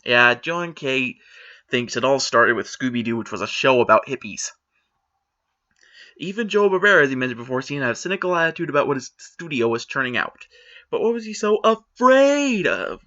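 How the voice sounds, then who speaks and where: A man's voice: a little high, bright, forceful tone, lo-fi sound. A man giving a dramatic reading of online forum comments, with exaggerated, indignant, theatrical emphasis. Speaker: reader of forum posts.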